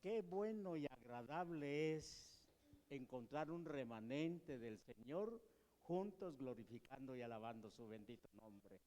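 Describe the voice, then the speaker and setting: A man's voice: mid-range voice, animated, measured pace, darkish tone. An older man from Guatemala, a visiting guest, gives a fervent, formal greeting to a congregation in a large church hall. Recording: long church reverb.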